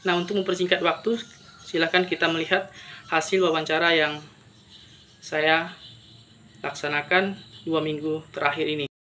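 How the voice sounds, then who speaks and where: A man's voice: a little high, level tone, normal speed, long pauses, pushed and bright. A young man, a student presenter giving a prepared introduction. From Indonesia. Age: young adult. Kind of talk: scripted presentation to camera.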